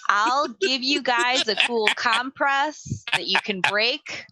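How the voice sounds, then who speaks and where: A woman with a bright, clear-cut voice at a middle pitch, recorded dry. She plays a tabletop game, casually thinking through ideas aloud in measured phrases. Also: dry recording, low-quality recording. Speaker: tabletop role-playing game player.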